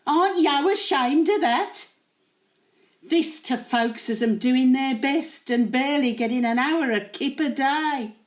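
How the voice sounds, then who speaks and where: Woman acting a high-voiced elderly Brummie widow. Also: a little mellow. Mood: indignant. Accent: Birmingham. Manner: rambling, colloquial, confiding. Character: chatty, opinionated elderly working-class Brummie widow, tender and grumbling. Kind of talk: dramatic monologue in character, addressed to an absent husband.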